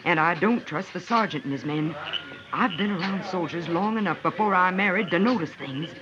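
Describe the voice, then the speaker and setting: An actress playing an earnest, shrewd pioneer wife, in old radio western style. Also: female voice, on the low side, normal speed, crowd noise, lightly acted. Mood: confiding. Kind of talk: 1940s-50s western radio acting. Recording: dull old radio recording.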